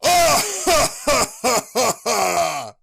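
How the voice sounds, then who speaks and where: Blustery middle-aged father, acted by a man, heavily gravelled, husky, boastful and easygoing, anime audio play. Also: very high-pitched, sing-song, very slow, sharp tone, full character voice. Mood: blustery, reassuring. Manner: boastful, dismissive of worries. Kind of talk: amateur anime audio drama acting.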